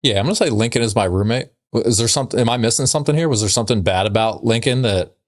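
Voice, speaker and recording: A man's voice: mid-range voice, very fast, dry recording, clean studio sound. A man co-hosting a podcast in casual comedic banter, often questioning and teasing. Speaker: podcast co-host.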